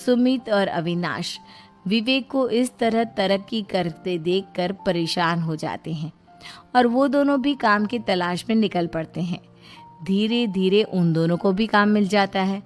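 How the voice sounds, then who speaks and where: A woman's voice: mid-range voice, unhurried, soft background music. A woman reading scripted narration for a moral story.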